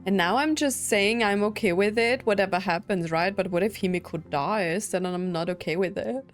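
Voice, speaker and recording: A woman streamer reacting to a game in an informal, slangy way. She thinks aloud in a mid-pitched voice, with faint music playing behind her. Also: normal speed. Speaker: gaming streamer. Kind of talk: casual reaction commentary over a game.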